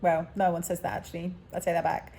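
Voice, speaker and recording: A woman with a British accent, chatting casually and self-mockingly to her phone camera, laughing often, her voice a little croaky and sleepy. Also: on the low side, flat delivery, fast, very noisy. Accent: British English. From England. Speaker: vlogger. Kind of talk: casual vlog chat.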